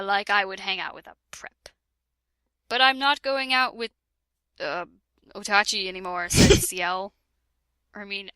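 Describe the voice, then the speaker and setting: A woman's voice: on the high side, bright, forceful tone, no echo. A woman reading a parody fan fiction aloud with a friend, giggling and breaking into laughter and sarcastic asides. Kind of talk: comedic dramatic reading with a friend.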